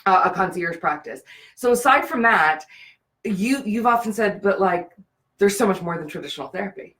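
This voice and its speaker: A woman's voice: mid-range voice, expressive, moderate pace, a bit bright. A woman speaking as the host of a live business interview show. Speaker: business show host, brand and profit strategist.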